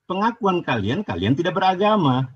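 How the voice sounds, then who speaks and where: A husky-voiced man takes part in a religious debate over dull lo-fi audio. He insists on being heard, repeating his phrases and pleading for the chance to finish. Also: high-pitched, big pitch swings, moderate pace, no echo.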